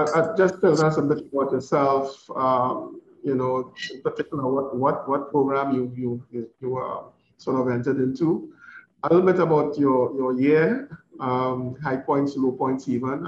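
A man, hosting an informal conversation in a halting, conversational way as a university principal. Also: medium pitch, steady tone, lo-fi sound, a little hiss or hum. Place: office, indoors. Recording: roomy office sound, faint music bed.

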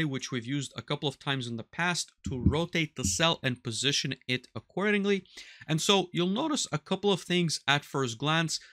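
A man in his thirties giving a step-by-step software tutorial on automation, talking methodically over a headset mic in a small workshop. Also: a little high, no echo, clean studio sound. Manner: explanatory, methodical, walks through steps aloud. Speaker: automation instructor. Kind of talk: screen-recorded software tutorial. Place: small workshop room with control panels.

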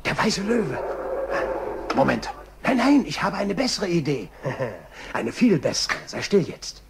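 An actor playing a cocky, scheming lion trapper in a children's audio drama, boastful and sly. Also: male voice, a little high, very animated, slow, vocal fry, heavily acted.

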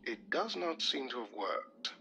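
An actor playing a calm military support officer in a video game, formal, measured and polite. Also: male voice, mid-range voice, gravelly, a little hiss or hum, exaggerated voice. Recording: loud game music behind the voice.

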